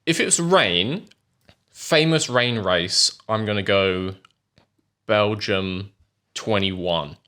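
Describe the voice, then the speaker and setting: A man's voice: on the low side, expressive, drawn-out words, pauses often, sharp tone. A man bantering casually and teasingly as he makes guesses in a quiz. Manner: jokey, teasing. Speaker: quiz contestant.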